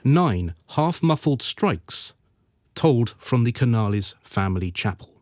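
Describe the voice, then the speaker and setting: A solemn storyteller narrates a radio drama, voiced by a man. His delivery is literary and dramatic, with lively rises and falls. The recording is dry and close. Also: medium pitch, measured pace, no echo, close to an everyday voice. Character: solemn, florid storyteller of a Venetian tale.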